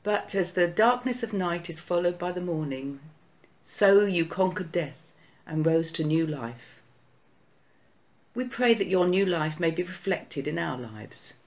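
Gravelly, prayer leader; slow, measured solemn delivery of a church prayer. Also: mid-range voice, lively intonation, muffled recording. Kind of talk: read-aloud Easter prayer.

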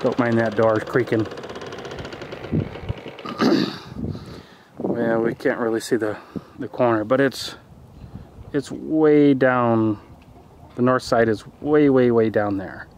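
A man in his forties giving a casual, relaxed walking tour of his homestead, thinking aloud with fillers as he films himself on a handheld camera. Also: medium pitch, takes it slowly, pauses often, highs cut off, background noise. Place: outdoors and in farm buildings. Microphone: handheld camera mic, filming himself.

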